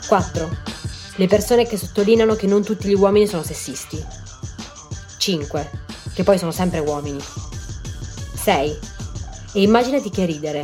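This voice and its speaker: A woman narrating a scripted first-person monologue as a numbered list, in a deadpan, sardonic manner. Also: fairly low pitch. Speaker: podcast writer and narrator.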